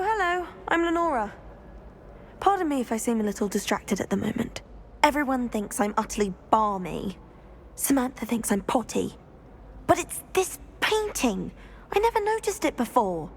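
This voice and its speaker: A woman's voice: on the high side, a little hiss or hum. An actress playing an earnest, inquisitive, slightly eccentric British schoolgirl witch in a video game, chatty and proper. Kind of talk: video game dialogue acting.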